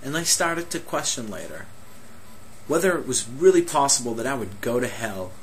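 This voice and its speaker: A young man from Egypt tells his own story in a measured, earnest voice of medium pitch. He often slows down and leans on rhetorical questions. A faint hiss sits under the recording. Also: big pitch swings, poor audio. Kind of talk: personal testimony monologue.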